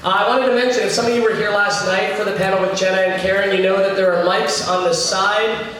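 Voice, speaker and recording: A man's voice: high-pitched, steady tone, fast talker, a bit bright, slight background noise. A man moderating a convention panel with upbeat enthusiasm, stumbling over a few restarts as he asks questions. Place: large convention hall stage. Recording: echoey convention hall from far off.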